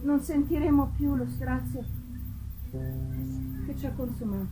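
A woman voicing a remorseful, anguished male monster resigned to death, in a grave, deliberate, solemn dramatic reading. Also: high voice, low-quality recording. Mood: grim resolve. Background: live plucked guitar music.

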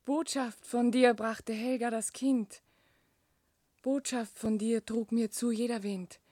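An actress playing a gentle, earnest and devout young maiden in a poetic radio play. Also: female voice, on the high side, steady tone, slow, dry recording, studio quality. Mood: devoted, solemn.

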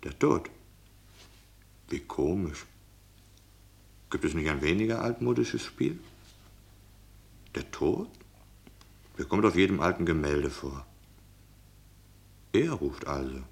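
An actor playing a lecherous, flattering tavern guest in a radio drama, coaxing and teasing in a gravelly voice. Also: male voice, low voice, takes it slowly, long pauses, heavily acted. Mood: puzzled, mocking. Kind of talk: German radio drama acting.

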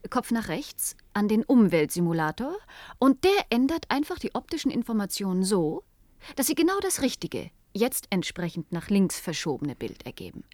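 Female scientist played by an actress, mid-pitched, hesitant and reasoning aloud, German sci-fi radio drama, very dry sound. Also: big pitch swings, normal speed, darkish tone, dry recording, close to an everyday voice. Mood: curious, working it out. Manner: hesitant, thinking aloud, explanatory. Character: Natascha, thoughtful female cybernetics scientist reasoning things out. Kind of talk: German science fiction radio play acting.

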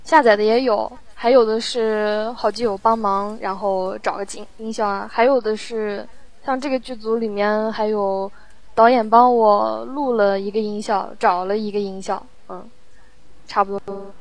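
A woman interviewed in a casual chat, talking in a halting, reflective way as she explains her work. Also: medium pitch, fairly even intonation, no room sound. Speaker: audio drama sound producer, interview guest.

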